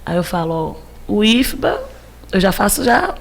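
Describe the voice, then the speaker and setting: A woman's voice: medium pitch, big pitch swings, a little slow. A woman in her thirties from Cape Verde, chatting casually as a podcast guest and repeating phrases for emphasis. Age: 30s. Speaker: podcast guest, civil engineering teacher. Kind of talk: casual interview chat.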